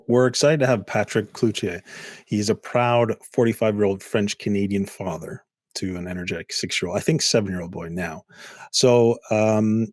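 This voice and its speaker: Deep-voiced, dry-sounding male co-host. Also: a little mellow, no echo. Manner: thoughtful, measured, lots of "you know". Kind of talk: casual podcast chat on a video call. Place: indoor home room.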